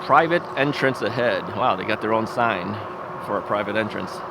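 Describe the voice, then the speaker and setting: A man with a gravelly, creaky voice chats as he rides an ebike outdoors. He rambles with easy chuckles, talking into a bike-mounted camera over clear traffic and wind noise. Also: fairly low pitch, normal speed. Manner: rambling, chuckling, self-questioning. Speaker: vlogger. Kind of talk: casual thinking aloud while riding. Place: outdoors on suburban streets, riding an ebike.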